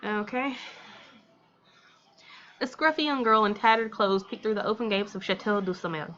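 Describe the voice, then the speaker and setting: A woman's voice: mid-range voice, normal speed, bright, forceful tone, lo-fi sound. A woman reading a visual novel aloud as a let's player, with informal, colloquial reactions along the way.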